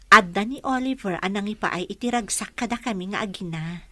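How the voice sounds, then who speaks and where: Bright-voiced earnest young mother played by an actress. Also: medium pitch, brisk, no echo. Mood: fond, teasing. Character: gentle young mother torn in love. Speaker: voice actor in Ilocano radio drama. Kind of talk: radio drama dialogue. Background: background music.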